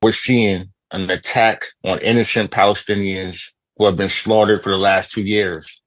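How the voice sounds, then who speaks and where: A man's voice: lowish voice, fairly even intonation, moderate pace, fairly bright tone, no room sound. Grave man speaking earnestly, dry sound.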